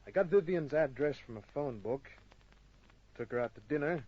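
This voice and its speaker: An actor playing a dry, world-weary private detective in an old radio crime drama, narrating and wisecracking. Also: male voice, on the high side, expressive, normal speed, a little mellow, slightly rough. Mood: dry narration. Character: hard-boiled, wisecracking male private detective. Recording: muffled old radio recording with music.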